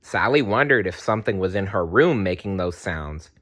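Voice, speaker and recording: A man's voice: deep voice, animated, moderate pace, a bit bright. A man reading a children's storybook aloud expressively. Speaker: storybook reader.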